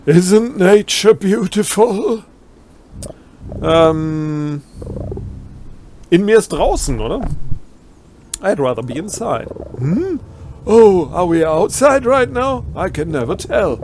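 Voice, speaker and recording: A man's voice: sits high, vocal fry, a little mellow, a little hiss or hum. A man with a German accent doing a let's play, reading game text aloud and adding wry, ironic asides. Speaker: let's player.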